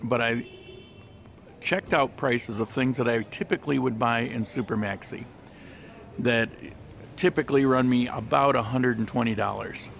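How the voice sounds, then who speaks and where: A relaxed man narrates a walking tour of a mall, chatting casually with lots of ums and uhs. His voice sits at an ordinary middle pitch. Clear traffic and mall noise run behind him on a handheld camera mic. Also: moderate pace. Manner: chatty, relaxed, with frequent fillers. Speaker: travel vlogger. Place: outdoor mall terrace and shopping center.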